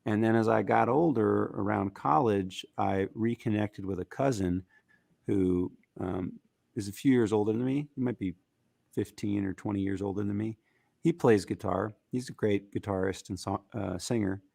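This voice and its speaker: A man with a raspy, gritty voice, talking casually as a musician guest in an interview, rambling with fillers. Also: on the low side, moderate pace, no echo. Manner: relaxed, rambling with fillers and restarts.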